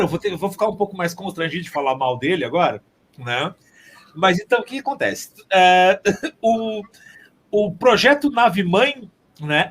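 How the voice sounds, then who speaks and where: A man's voice: sits high, a bit bright. A man chatting casually as an interview guest, joking and ironic, rambling from one phrase to the next. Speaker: podcast guest, theatre sound designer and composer.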